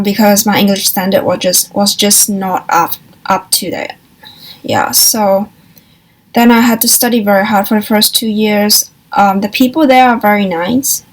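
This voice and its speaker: A young woman from Hong Kong, a university student, talking softly and hesitantly in a personal confessional vlog, pausing and restarting as she reflects. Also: medium pitch, poor audio. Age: young adult. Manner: soft, hesitant, reflective, with frequent pauses and restarts. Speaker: vlogger.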